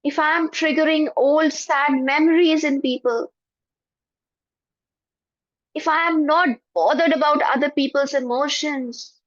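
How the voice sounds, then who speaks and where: Slow high-voiced woman guiding meditation. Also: steady tone, no echo. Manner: slow, soft, prayerful repetition. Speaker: meditation guide. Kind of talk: guided forgiveness meditation. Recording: dull lo-fi, dry.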